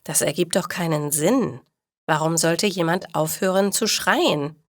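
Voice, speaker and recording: A woman narrating scripted stories for language learners, reading in a deliberate, clearly articulated manner. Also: fairly low pitch, no room sound, clean studio sound.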